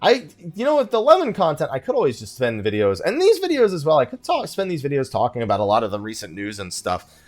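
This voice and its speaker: A man's voice: mid-range voice, wildly swooping pitch, quick pace, faint music behind. A man giving casual let's play commentary as a video creator, rambling and thinking aloud, often sidetracking himself. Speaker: gaming video creator and live streamer.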